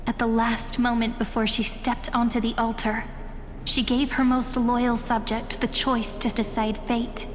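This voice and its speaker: An actress playing a solemn storyteller, narrating a legend in a video game with measured, grave restraint. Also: female voice, fairly high pitch, close to an everyday voice. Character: solemn female storyteller chronicling an empress's legend. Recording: long reverb with music behind.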